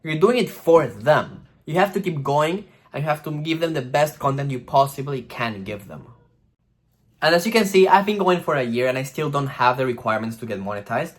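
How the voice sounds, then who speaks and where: A young man giving casual, animated advice on starting a vlog channel, swearing freely and acting out the algorithm in silly voices. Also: a little high, brisk. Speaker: vlogger.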